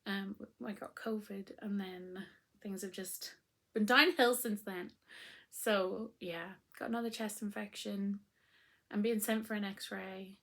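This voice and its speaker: A woman hosting a casual crafting podcast, her voice still croaky after illness, talking in a chatty, rambling way with frequent ums. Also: medium pitch, steady tone, slow, fairly bright tone. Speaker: knitting podcast host.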